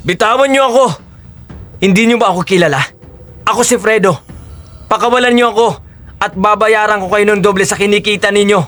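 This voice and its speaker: Audio drama villain, male actor: arrogant businessman, higher-pitched, threatening, vengeful, faint music underneath. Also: brisk, pauses often, fairly bright tone. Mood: desperate, indignant.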